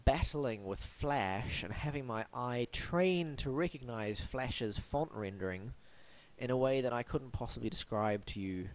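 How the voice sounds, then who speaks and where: A man's voice: medium pitch, unhurried, low-quality recording. A man hosting a web design review, blocked up and sniffly from hay fever, with a wry, mock-formal, theatrical delivery. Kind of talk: scripted web review monologue.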